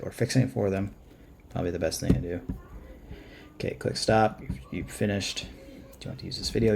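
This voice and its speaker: A man with a very low, gravelly voice talks through a software tutorial in an informal way. He pauses and thinks aloud as he goes, unscripted and casual. There is noticeable background noise, with keyboard clicks around his speech. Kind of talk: casual unscripted screen-share walkthrough. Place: indoors at a computer.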